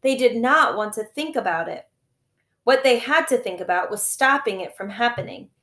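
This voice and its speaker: A woman's voice: on the high side, expressive, normal speed, a bit bright. A woman reading a storybook aloud as a teacher, narrating expressively in an echoey room.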